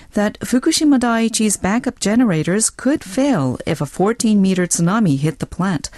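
A woman's voice: mid-range voice, a little mellow, dry recording. A woman reading a scripted news report for radio.

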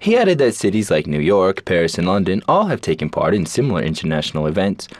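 A man reading scripted news reports in a measured, emphatic way. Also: deep voice, big pitch swings. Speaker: news presenter.

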